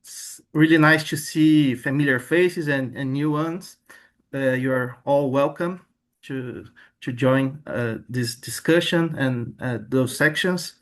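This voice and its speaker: A man from Brazil introducing himself on a video-call webinar, halting often on fillers and repeated words. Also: mid-range voice. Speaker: committee member and social media manager.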